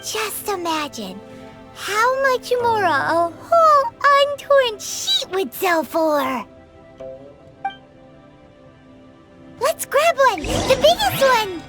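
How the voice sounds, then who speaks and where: A bubbly, greedy little fairy companion, piping in a very high voice that leaps about. She drawls slowly and calls herself by her own name. Game music plays behind her. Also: very animated, heavily acted. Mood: excited. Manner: bubbly, speaks of herself in third person. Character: tiny floating female fairy companion, chirpy and greedy. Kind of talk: video game dialogue.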